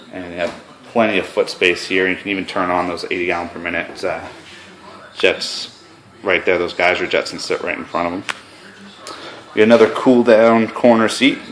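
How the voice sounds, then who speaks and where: Low-voiced man giving a showroom tour. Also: a bit bright, rough recording. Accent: New England. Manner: casual, filler-heavy sales pitch. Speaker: hot tub salesman. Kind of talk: walkthrough product tour. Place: indoor spa showroom. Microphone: handheld camera, speaker behind it.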